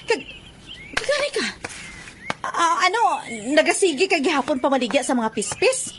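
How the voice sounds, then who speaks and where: Radio drama: an earnest, protective mother, Western Visayan accent, expressive swings, gently reproving her son. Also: high-pitched, moderate pace, slight background noise. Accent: Filipino, Western Visayan. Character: caring, reproving widowed mother of a young man. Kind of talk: Philippine radio drama acting.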